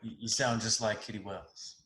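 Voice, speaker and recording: A man's voice: fairly low pitch, fairly even intonation, normal speed, a bit bright, slight background noise, natural voice. An actor playing a shy, admiring drummer in his mid-twenties at a screenplay table read.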